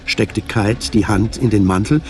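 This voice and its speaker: A man's voice: on the low side, measured pace, a little hiss or hum. A man reading scripted literary narration as the narrator of a radio drama. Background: music.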